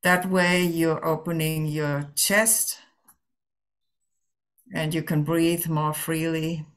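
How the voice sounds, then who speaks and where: A woman leading a guided meditation as a Zen teacher, speaking gently and permissively in halting phrases with long pauses. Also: low voice, steady tone.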